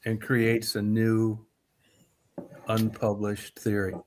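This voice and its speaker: A calm host in a live chat who steadies the discussion and asks questions. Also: mid-range voice, slow, a little hiss or hum. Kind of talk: casual chat.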